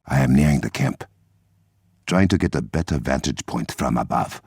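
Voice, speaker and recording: A man playing a noble, resolute warrior king in a video game, with an African accent, a deep gravelly voice and formal, measured diction. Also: dry recording, studio quality, heavily acted. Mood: calm, resolute.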